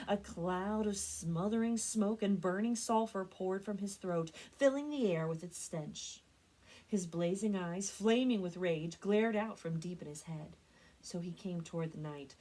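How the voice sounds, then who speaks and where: A woman reads a story aloud expressively, with deliberate, dramatic phrasing and strong emphasis, like a librarian at story time. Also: mid-range voice, low-quality recording. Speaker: librarian reading stories for a library program.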